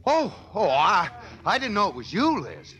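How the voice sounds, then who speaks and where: An actor playing a teasing, jovial, excitable husband in a 1940s radio sitcom. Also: male voice, high voice, moderate pace, lo-fi sound, heavily acted. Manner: teasing, excitable, stammering in surprise. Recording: noisy vintage 1940s radio recording.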